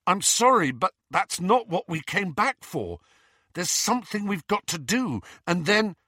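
A man voicing a harried, reluctant teenage boy hero in an audiobook, halting and urgent. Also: on the high side, huge pitch swings, fairly bright tone, slightly rough, dry recording, clean studio sound, pitched above the performer's own voice, full character voice. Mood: rising panic.

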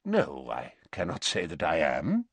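A man voicing a wise old wizard in an audiobook, measured and polite, calm with a gently wry manner. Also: extremely low pitch, husky, no room sound, pitched below the performer's own voice, full character voice. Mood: courteous irony.

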